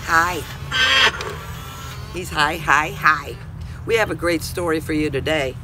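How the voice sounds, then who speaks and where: Slow older woman hosting storytime, loud background noise. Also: sits low. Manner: animated, addressing young children. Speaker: storytime host. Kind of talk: children's read-aloud presenting. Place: outdoors on a house porch. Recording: clearly noisy outdoor camera sound.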